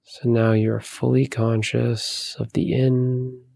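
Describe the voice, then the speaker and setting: A man leading a guided meditation, giving calm, soothing, unhurried instructions with long pauses. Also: medium pitch, vocal fry, dry recording.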